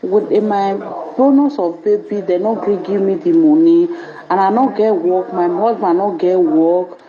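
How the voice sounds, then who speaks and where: A woman's voice: lowish voice, normal speed, narrow, tinny audio, crowd noise. A Nigerian woman calling in to a live show, pleading and complaining as she lays out her troubles. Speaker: caller.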